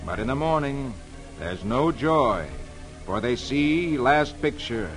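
A man's voice: mid-range voice, expressive, slow. An actor playing a jolly, friendly man who reads comics to children on old-time radio, in a theatrical, emphatic storytelling style. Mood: dramatic, suspenseful. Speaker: host reading newspaper comics to children. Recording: old radio broadcast with music behind.

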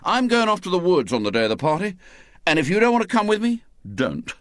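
A man's voice: medium pitch, very animated, moderate pace, no room sound, studio quality, close to an everyday voice. A man voicing a defiant, scheming schoolboy ringleader who talks bluntly and bossily. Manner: bossy, blunt.

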